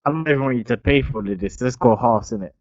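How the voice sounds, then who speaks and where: A man's voice: medium pitch, very fast, dry recording. A young man, telling a long story in casual podcast chat and tagging his sentences with "innit". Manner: rambling storytelling, tags "innit" often. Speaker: podcast co-host. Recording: dull lo-fi dry sound.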